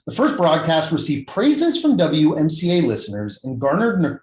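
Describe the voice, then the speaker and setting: A man at an academic conference, reading a prepared research paper in a measured, formal way over a video call. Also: on the high side, a little mellow. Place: video-call recording, echoey room. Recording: echoey video-call recording.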